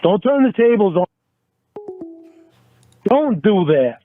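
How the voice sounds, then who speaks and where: A man's voice: high voice, sing-song, takes it slowly, faint music behind. A man arguing angrily over a phone call, swearing and pleading, recorded on a phone line. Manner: angry, pleading, swears a lot. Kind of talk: heated phone argument. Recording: tinny phone line, dry.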